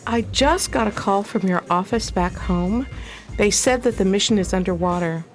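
A woman playing the calm, matter-of-fact wife of a reverend in a radio play. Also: mid-range voice, moderate pace, soft background music, lightly acted. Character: practical, composed middle-aged wife of a reverend.

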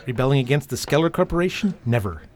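Man acting exasperated veteran, animated delivery. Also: mid-range voice, fast talker, lightly acted. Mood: defensive. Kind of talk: audio drama acting.

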